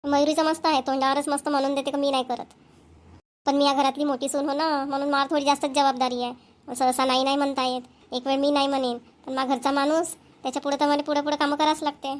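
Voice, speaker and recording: A woman plays an overworked village housewife from a family cartoon. Her voice is high and rapid, with little rise and fall. She has a homely rural Varhadi Marathi accent and a plaintive way of talking. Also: level tone, close to an everyday voice. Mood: complaining. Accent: Maharashtrian, rural Varhadi Marathi.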